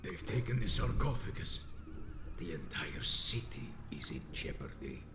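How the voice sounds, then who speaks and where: An actor playing a badly wounded, monstrous vampire elder in a video game, grave and vengeful, with a breathy rasping whisper and archaic, theatrical phrasing. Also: male voice, extremely low pitch, very animated, background noise, full character voice. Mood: grave, urgent. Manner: theatrical, archaic, slow pronouncements. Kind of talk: dramatic video game acting. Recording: echoey, with loud music underneath. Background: music.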